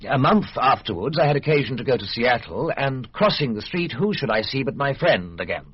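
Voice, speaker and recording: An actor playing an uneasy, guarded and gossipy gentleman in a radio drama, telling things in a hesitant, clipped way. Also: mid-range voice, sing-song, fairly quick, slightly rough, no echo. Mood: anxious recounting. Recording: dull lo-fi radio recording.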